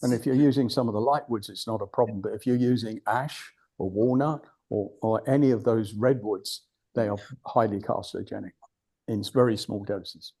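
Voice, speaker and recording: A man's voice: on the low side, normal speed, dark tone, husky. An older British man giving an informal talk to a club, blunt and digressive, often restarting his sentences. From United Kingdom. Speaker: guest speaker at a club. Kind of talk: informal presentation.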